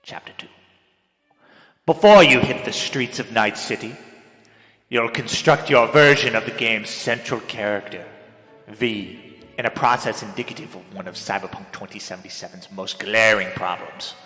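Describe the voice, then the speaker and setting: A young man delivers a theatrical dramatic reading of a written piece in a melodramatic manner, swinging between hushed and loud. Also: on the low side, normal speed. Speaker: dramatic reader. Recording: echoey hall with long reverb, music behind. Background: music.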